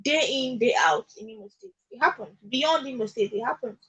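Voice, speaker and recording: A woman's voice: sits high, a little fast, a bit bright, husky, poor audio. A Nigerian woman speaking as a radio guest in conversational advocacy, emphatic, repeating her points and checking that she is understood. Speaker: radio guest discussing social issues. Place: radio studio.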